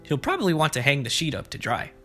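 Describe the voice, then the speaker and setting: Expressive higher-pitched man reading to kids. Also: fast. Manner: expressive, speaking simply to young children. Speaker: preschool story-time host. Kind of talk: children's storybook read-aloud and simple explanations. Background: background music.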